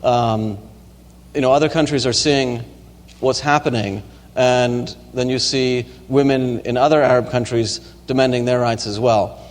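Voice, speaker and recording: Lecturer answering questions in a hall. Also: male voice, medium pitch, steady tone, measured pace. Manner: hesitant, many ums and restarts. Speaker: university lecturer. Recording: reverberant lecture hall recording.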